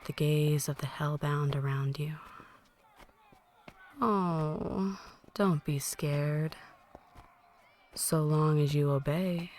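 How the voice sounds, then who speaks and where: A woman playing a possessive, menacing vampire mistress in an intimate roleplay, teasing and manipulative, often slipping into breathy whispers. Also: sits low, darkish tone. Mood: taunting, menacing. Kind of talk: intimate ASMR roleplay monologue.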